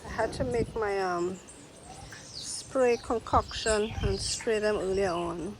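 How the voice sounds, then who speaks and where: Woman vlogger, nasal from a cold, slow and easygoing; outdoor take with clear birdsong behind. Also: medium pitch, background noise. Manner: slow, unhurried, pointing out plants one by one. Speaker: gardening vlogger. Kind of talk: casual garden tour narration. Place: outdoor garden. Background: birds singing.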